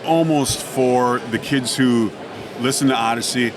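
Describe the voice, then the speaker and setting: A man's voice: medium pitch, unhurried. Male writer chatting over event noise. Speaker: guest, audio drama writer. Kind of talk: casual interview chat. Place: busy convention center event floor. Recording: noisy convention hall background.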